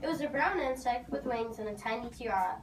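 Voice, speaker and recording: A woman's voice: a little high, fairly quick. A woman narrating a scripted storybook tale for a readers theater performance. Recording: noisy, echoey hall from far off.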